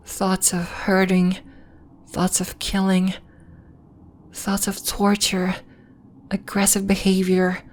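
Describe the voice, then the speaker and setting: A woman playing a serene, solemn alien moon healer in an ASMR roleplay, speaking soothingly in formal, deliberate phrases. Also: medium pitch. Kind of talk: ASMR roleplay, soft scripted monologue addressed to listener.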